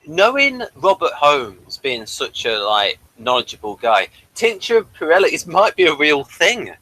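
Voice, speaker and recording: A man's voice: fairly high pitch, big pitch swings, unhurried, bright, forceful tone, rough recording. A man chatting casually as a podcast co-host. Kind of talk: casual chat.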